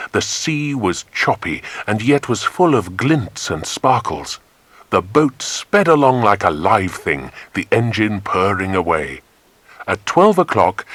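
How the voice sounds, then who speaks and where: A man narrating a children's adventure story in an expressive, scripted audiobook style. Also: sits low, dry recording.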